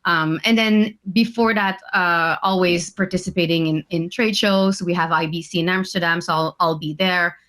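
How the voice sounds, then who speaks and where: A woman's voice: on the low side, fairly even intonation, a bit bright. Hesitant woman on a video call. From French Canada. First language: French. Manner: hesitant, many "uh" and "um" pauses. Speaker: guest. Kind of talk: casual interview answers.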